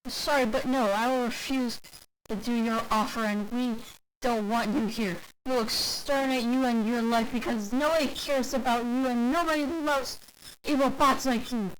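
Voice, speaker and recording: Defiant police lady, woman voicing, kinda high, a little noisy. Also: fairly even intonation, moderate pace, lightly acted. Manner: blunt defiant refusal.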